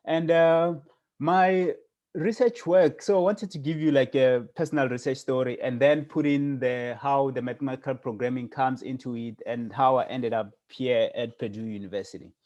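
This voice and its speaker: A man's voice: medium pitch, fairly even intonation, moderate pace, darkish tone. A middle-aged man from South Africa giving an academic seminar over a video call, speaking hesitantly with many fillers and restarts. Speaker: guest lecturer.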